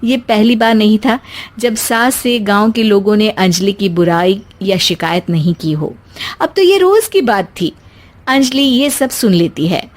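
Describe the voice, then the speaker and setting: A woman's voice: medium pitch, measured pace. A woman storyteller reading a scripted moral tale as narration.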